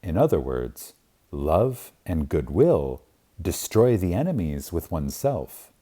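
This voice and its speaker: A man reading a spiritual self-help book aloud as an audiobook, in a reverent, emphatic manner with long deliberate pauses. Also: low voice, big pitch swings, mellow. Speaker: audiobook reader.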